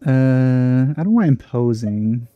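A man giving casual, musing let's play commentary on a game, in a halting way. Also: mid-range voice, lively intonation, narrow, tinny audio, a little hiss or hum.

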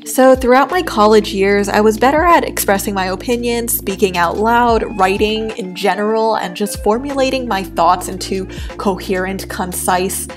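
A young woman from New York, whose was Cantonese, talks in a soft and reflective way. Her voice sits at a mid pitch, recorded close as a voice-over, with clear music playing behind her. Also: normal speed, few pauses. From New York, USA. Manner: soft, thoughtful, candid. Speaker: art YouTuber. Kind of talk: reflective personal voice-over while drawing.

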